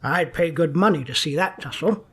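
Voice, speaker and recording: Man as cynical noir detective, slightly high-voiced. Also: normal speed, fairly bright tone, husky. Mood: irritated. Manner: curt, sardonic, slangy. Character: world-weary, gruff, cynical male 1950s police detective. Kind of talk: noir radio drama dialogue and narration.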